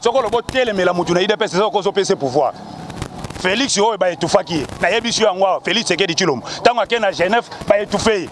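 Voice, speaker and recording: A man's voice: high-pitched, lively intonation, quick pace, slight background noise. A man from Kongo Central, arguing emphatically as a party supporter in a street interview. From Kongo Central, DR Congo. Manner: emphatic, repetitive, rallying. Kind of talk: impassioned political argument.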